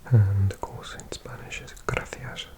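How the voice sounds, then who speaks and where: A person giving an ASMR language lesson in a breathy whisper, softly repeating words in an unhurried way. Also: low-pitched, steady tone. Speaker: language teacher.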